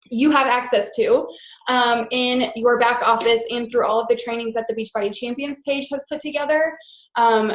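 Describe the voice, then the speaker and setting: A woman's voice: high voice, roomy sound. Congested, upbeat woman hosting a call. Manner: upbeat and enthusiastic with many "super"s and "um"s. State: congested from a head cold. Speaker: team leader of a coaching group. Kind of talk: hosting a team conference call with announcements.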